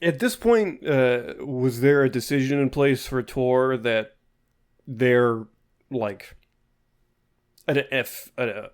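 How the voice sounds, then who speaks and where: A man's voice: medium pitch, slow, pauses often, clean tone. A man co-hosting a casual chat, chiming in with dry, wry remarks. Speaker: podcast co-host.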